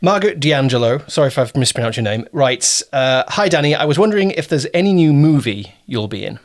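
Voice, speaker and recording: A man speaking as a producer and interviewer in a relaxed, conversational interview, with an earnest, complimentary way of talking. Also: mid-range voice, a little fast.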